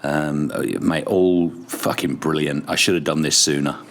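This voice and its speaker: A middle-aged man with an English accent, telling radio stories in a relaxed, chatty way and swearing casually. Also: extremely low pitch, a little slow. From Ireland. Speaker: podcast guest, radio presenter. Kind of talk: casual chat.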